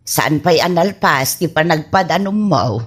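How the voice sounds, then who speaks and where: A woman plays a fretful, scolding mother in Ilocano radio drama. Her voice is husky and sits lower, with the chiding tone of a parent to a grown child. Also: expressive, a little slow, exaggerated voice. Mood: worried, chiding. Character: worried, scolding mother of a grown farmer son.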